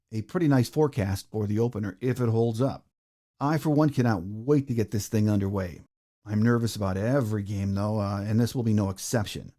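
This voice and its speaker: Mellow American man hosting a sports podcast. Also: medium pitch. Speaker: podcast host. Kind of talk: sports podcast hosting, game preview notes.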